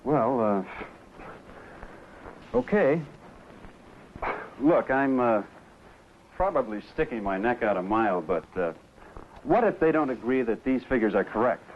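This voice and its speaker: An eager, modest trainee salesman, acted by a man in a 1970 training film. He is inquisitive and deferential, with a mid-pitched voice. The old film soundtrack is muffled and faintly noisy. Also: expressive, measured pace, pauses often, close to an everyday voice. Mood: hesitant, probing.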